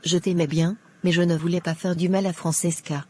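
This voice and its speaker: A woman playing an indignant, betrayed friend in an animated drama. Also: lowish voice, brisk, a little hiss or hum, close to an everyday voice. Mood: accusing.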